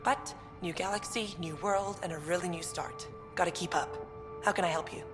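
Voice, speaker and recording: A woman's voice: lowish voice, steady tone, normal speed, close to an everyday voice. A woman playing a confident, upbeat research scientist at a colony in a video game, speaking informally and earnestly. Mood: brisk, confident. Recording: long reverb, music behind.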